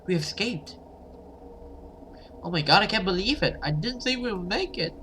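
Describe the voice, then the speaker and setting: A man voicing a frightened, pleading young woman in a horror visual novel, excitable and desperate. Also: sits high, moderate pace, a little hiss or hum, voice pushed up. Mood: relieved, amazed.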